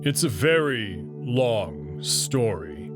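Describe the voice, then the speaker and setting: A man's voice: on the low side, big pitch swings, extremely slow, voice pushed down, heavily acted. A man voicing a proud but guarded young male wolf alien prince in a visual novel, explaining himself earnestly and reluctantly. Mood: reluctant, guilty. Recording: clear music behind the voice.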